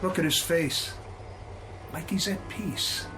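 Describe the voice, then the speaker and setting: High-voiced, folksy train crewman. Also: takes it slowly, noisy background. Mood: shaken, regretful. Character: sympathetic, plain-spoken male train crewman at a death scene. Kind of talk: radio drama acting.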